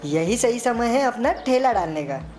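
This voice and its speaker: A woman's voice: fairly high pitch, expressive, a little slow, darkish tone, soft background music. A woman voicing an envious, scheming young male helper in a cartoon moral story. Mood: calculating.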